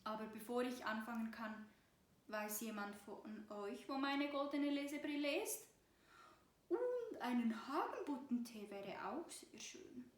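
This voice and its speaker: A woman voicing a gentle, forgetful old grandfather mouse with a hesitant, kindly manner in a children's story. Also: on the high side, lively intonation, a little slow, reverberant. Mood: giving in.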